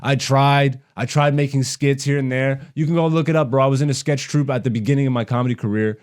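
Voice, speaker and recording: A man with an average-pitched voice hosts a casual comedy podcast. He rants bluntly in loose slang and swears freely as he goes. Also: fairly even intonation, fast talker, darkish tone, clear, resonant tone. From raised in Canada. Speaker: stand-up comedian and podcast host.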